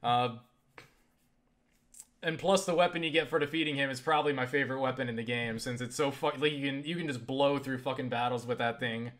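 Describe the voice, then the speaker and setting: A man chatting casually and haltingly about games, swearing freely in an animated way. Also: medium pitch. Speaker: video creator answering viewer questions. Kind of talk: casual rambling game chat.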